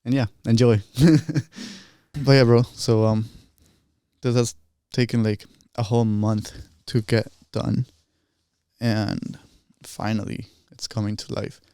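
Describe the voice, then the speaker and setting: A man's voice: fairly low pitch, flat delivery, slow pace, dark tone, clean tone, dry recording. A young man from Colombia hosting a casual podcast in informal, slangy talk on a close studio microphone. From Colombia, partly grew up in the United States. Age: young adult. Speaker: podcast host. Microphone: close boom-arm studio microphone.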